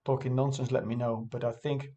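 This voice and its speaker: A man's voice: medium pitch, flat delivery, fairly quick, dark tone, lo-fi sound. Hesitant man thinking aloud, non-native English. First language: not English. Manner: hesitant, self-correcting, thinks aloud with many fillers. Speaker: chess vlogger reviewing his own game. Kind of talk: casual thinking-aloud game review.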